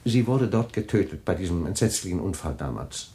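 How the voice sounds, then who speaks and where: A man's voice: sits low, animated, a little fast, dark tone. An actor in a German radio drama playing a wealthy, evasive husband who soothes and dismisses his wife. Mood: pained. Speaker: husband James.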